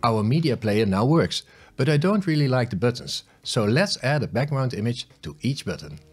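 A man in his fifties narrating a step-by-step software tutorial in short instructional phrases, recorded on a close studio mic. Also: medium pitch, big pitch swings, normal speed, husky, faint music behind. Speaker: host of smart home tutorial videos. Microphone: close studio mic on a boom arm.

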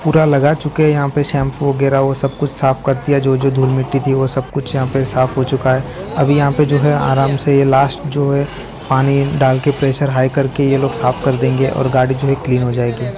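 A young man talks viewers through a car wash in a relaxed, chatty vlog. His pitch stays flat and level as he explains each step. Clear background noise from the outdoor yard sits under his voice. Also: fairly quick. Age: young adult. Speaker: vlogger. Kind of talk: casual vlog narration.

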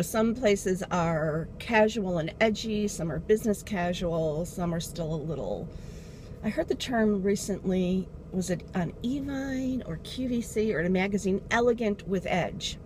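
A woman's voice: mid-range voice, darkish tone. A middle-aged woman chatting casually while she drives, rambling and losing her train of thought as she goes. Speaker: beauty vlogger. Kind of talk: casual chat. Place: inside a car while driving. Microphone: dashboard camera. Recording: car engine and road noise.

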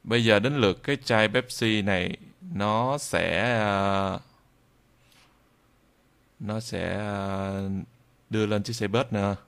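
Low, creaky-voiced man giving a tutorial. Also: fairly bright tone. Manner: halting, with frequent drawn-out hesitations. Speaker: software tutorial instructor. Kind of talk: informal screen-recorded tutorial narration. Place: indoors at a computer, screen recording voice-over.

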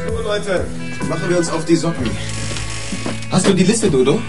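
A self-assured, bossy man in a radio crime play. His fairly high voice moves around in animated swings, with brisk, sarcastic, colloquial phrasing. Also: over music. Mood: breezy, commanding. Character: Tom, bossy, self-assured male repair shop owner. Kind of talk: German radio crime drama acting.

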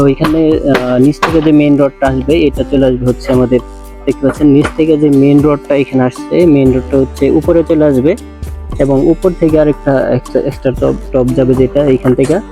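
A man with a Bangladeshi accent gives an informal on-site instructional explanation as an engineer, repeating himself and hesitating, with frequent filler phrases. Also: on the high side. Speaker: civil engineer presenting a how-to video. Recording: music running behind the voice.

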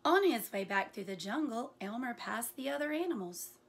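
A woman in her forties reads a picture book aloud as a teacher would, in an animated, deliberate way with hushed asides. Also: fairly high pitch, slightly rough. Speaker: teacher reading aloud to children. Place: small furnished room indoors.

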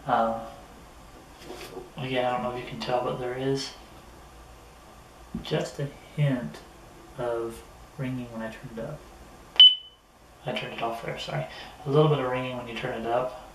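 Even-toned man reviewing gear, roomy sound. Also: mid-range voice, moderate pace, long pauses. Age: middle-aged. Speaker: product reviewer. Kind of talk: casual unboxing and gear review, talking to camera. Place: small indoor room at a table. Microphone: camera a few metres away. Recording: roomy, distant camera mic with noticeable noise.

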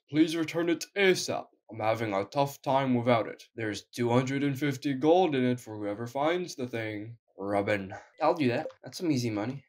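Sick young man, wry game commentary. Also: medium pitch. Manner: wry, self-mocking, deadpan asides. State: sick, weak voice. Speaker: gaming YouTuber. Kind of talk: casual gameplay commentary.

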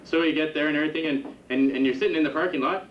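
A young man doing a stand-up comedy set in a club, with a rambling, halting delivery full of restarts. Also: a little high, far from the mic, noisy background. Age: young adult. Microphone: handheld stage mic on a stand. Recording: roomy, dull 1991 camcorder tape from the audience. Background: audience laughter.

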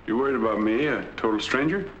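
An actor playing a lonely, sick middle-aged man in a 1940s film, speaking quietly and wearily. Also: mid-range voice, quick pace, dull audio, natural voice. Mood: wary. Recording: faint hiss on old film soundtrack.

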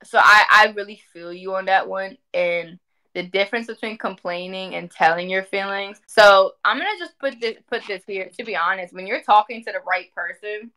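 A woman in her twenties speaks casually to an online group in a bright, forceful voice at a middle pitch. She is animated and emphatic, circling back to repeat key phrases as she makes her point. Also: moderate pace, rough recording. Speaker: spiritual community speaker. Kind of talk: casual livestream talk. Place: indoor room at home.

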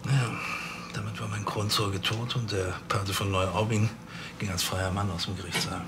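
Actor as a lowish-voiced, self-dramatizing police boss. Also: male voice, steady tone, moderate pace, over music, natural voice. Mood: brooding, self-important. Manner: self-dramatizing, brooding tough-cop talk. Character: boastful, world-weary male police boss. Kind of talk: television crime comedy acting.

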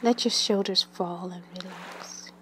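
A woman leading a guided meditation in a gentle, soothing manner, with long pauses and breathy phrase endings that trail away. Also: fairly low pitch, slight background noise.

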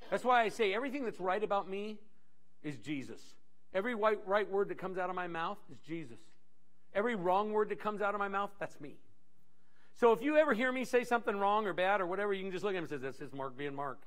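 A middle-aged man preaching a sermon on a church stage, animated, pacing with many restarts. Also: high-pitched, fast. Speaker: pastor. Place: church stage in a large dim hall.

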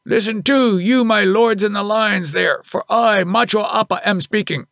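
A man's voice: high-pitched, moderate pace, gravelly, fairly bright tone, no room sound, studio quality, pitched above the performer's own voice. A man voicing a proud veteran head elephant driver, declaiming exultantly in an audiobook story. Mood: proclaiming.

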